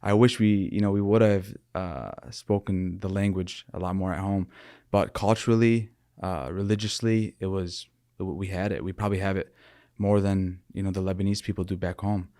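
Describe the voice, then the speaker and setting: A young man from Michigan, talking earnestly and thoughtfully as a podcast guest, often pausing and restarting his sentences. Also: low-pitched, steady tone, warm tone, no echo, studio quality. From Michigan, USA. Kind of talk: reflective interview talk.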